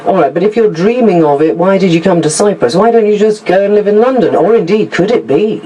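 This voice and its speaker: Probing radio host with faint hiss. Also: female voice, medium pitch, fairly quick, warm tone, rough recording. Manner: probing, challenging questions. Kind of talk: radio interview.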